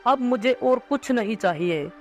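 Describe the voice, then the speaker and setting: A man's voice: very high voice, lively intonation, a little slow, faint music behind. A man playing a devout, earnest husband and father who speaks pleadingly in a cartoon story. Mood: grateful relief. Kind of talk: dramatised cartoon story dialogue.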